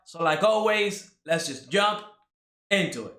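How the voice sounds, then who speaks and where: A man's voice: high voice, animated, a little slow, reverberant, over loud music. A young man from Cuba giving a casual spoken anime review, rambling with many fillers and tag questions.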